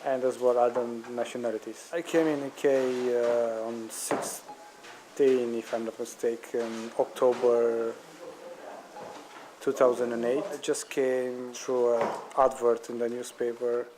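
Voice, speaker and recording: A man from Romania with a Romanian accent, a charity volunteer speaking haltingly about his life in the UK. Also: mid-range voice, flat delivery, background noise. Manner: halting, searching for words. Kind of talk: documentary testimony.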